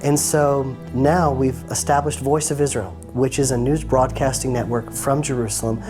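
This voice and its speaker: Man, thirties, fervent guest testimony, mid-pitched, clear music underneath. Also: steady tone, normal speed. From United States (Atlanta). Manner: fervent, speaks in short phrases with ums. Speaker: interview guest. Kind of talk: earnest personal testimony.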